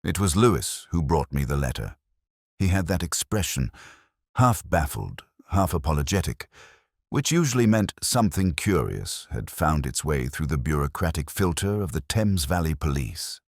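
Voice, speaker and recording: Male actor as detective narrator, rumbling low register, lively intonation, brooding, erudite, measured, middle-aged, audio drama. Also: no echo, clean studio sound, exaggerated voice. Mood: wry, uneasy. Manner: measured, literary, reflective. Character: brooding, erudite male English police detective, melancholy, observant.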